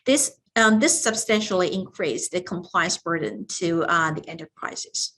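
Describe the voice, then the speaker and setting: Woman giving a formal, halting business presentation. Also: on the low side, lively intonation, a bit bright. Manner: formal, halting reading with frequent hesitations. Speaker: business tax advisory presenter. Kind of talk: scripted explanatory business presentation.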